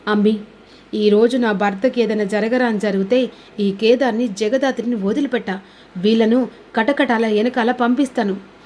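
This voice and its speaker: A woman's voice: on the high side, a little mellow, slight background noise, natural voice. A woman voicing a bitter wife in a family serial recap, ranting and vowing revenge.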